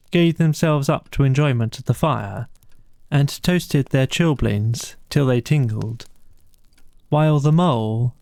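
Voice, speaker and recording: Slow, mellow male bedtime narrator. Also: medium pitch, creaky, no echo. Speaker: audiobook narrator. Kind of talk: scripted bedtime story narration.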